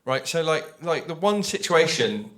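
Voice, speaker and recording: A man, a writer on a live panel, explaining casually in an animated, self-correcting way. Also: a little high, measured pace.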